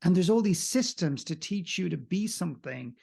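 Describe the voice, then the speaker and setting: A man's voice: high voice, expressive, normal speed, vocal fry, a little mellow, slightly rough. A man in his forties with an Irish accent, speaking earnestly as a guest on a video call interview from a room at home with a desk mic. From Ireland. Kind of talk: reflective interview conversation. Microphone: desk condenser mic, video call.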